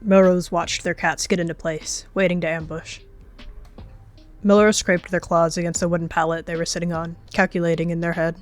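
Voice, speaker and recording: A woman's voice: lowish voice, flat delivery, moderate pace, soft background music. A woman narrating a story in an online roleplay game, in a storytelling style.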